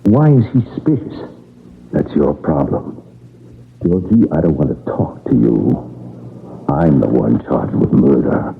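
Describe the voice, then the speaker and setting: An actor playing a bitter, threatening robber in a radio mystery drama, quick to accuse. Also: male voice, very deep voice, expressive, gravelly, full character voice. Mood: menacing. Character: bitter, menacing male robber accused of murder. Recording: tinny, narrow-band old radio recording.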